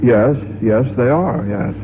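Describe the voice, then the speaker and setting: Absent-minded scientist, American, earnest, hesitant stammer, vintage radio drama, narrow noisy sound. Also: male voice, mid-range voice, animated, takes it slowly. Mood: flustered, mild. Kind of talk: 1950s radio drama acting. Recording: muffled, noisy 1950s radio recording.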